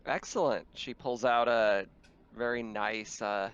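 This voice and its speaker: A man's voice: medium pitch, takes it slowly, fairly bright tone, clean tone. Dull-sounding mic, man as game master. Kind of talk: casual tabletop game narration.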